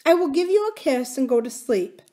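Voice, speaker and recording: Woman doing a high earnest little princess. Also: animated, normal speed, mellow. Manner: pleading, indignant. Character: young princess girl, earnest and proud.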